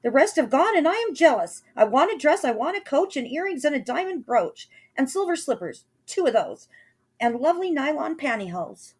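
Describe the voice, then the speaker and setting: A spoiled, demanding young heroine whines in a high, shrill voice, as a woman puts her on. She pushes loudly and insistently for what she wants. The voice belongs to a read-aloud storybook. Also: big pitch swings, a little slow, full character voice.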